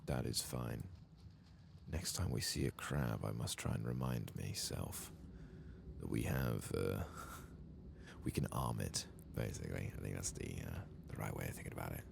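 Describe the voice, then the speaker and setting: A soft-spoken man murmuring ASMR commentary as he plays a video game. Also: very deep voice, normal speed, gravelly, background noise. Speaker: ASMR gaming streamer.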